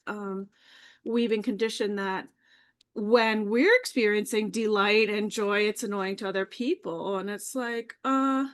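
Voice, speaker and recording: A woman guest talking casually on a live video call. She sounds easygoing and chatty, and laughs readily. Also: mid-range voice.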